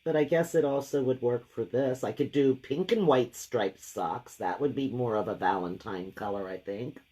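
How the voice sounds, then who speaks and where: A woman hosting a live craft painting session. She has a deep, gravelly voice left over from a cold, and she chats and thinks aloud while she works. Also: normal speed, dark tone. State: lingering gravelly voice after a cold. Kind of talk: casual live painting demo.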